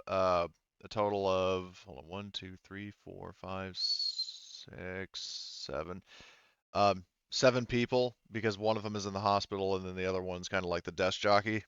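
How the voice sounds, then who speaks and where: Deep smooth guy game mastering, thinks out loud, halting, dry kinda muffled audio. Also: level tone, dry recording. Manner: halting, informal. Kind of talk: narrating a tabletop roleplaying game, thinking aloud.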